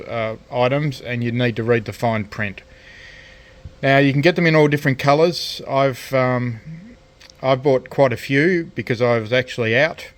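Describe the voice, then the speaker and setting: A man giving a casual, unscripted hands-on tutorial as a hobbyist, speaking hesitantly with frequent pauses. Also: medium pitch. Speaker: hobbyist presenter of a DIY electronics video. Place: indoors at a cluttered radio workbench.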